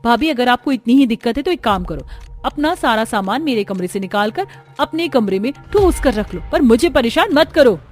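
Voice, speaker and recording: Woman voicing a snappy, high-pitched young cartoon sister-in-law. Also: animated, normal speed, faint music behind. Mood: defiant. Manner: snappy, defensive. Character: Kalpana, irritable possessive young sister-in-law. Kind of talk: Hindi family cartoon dialogue.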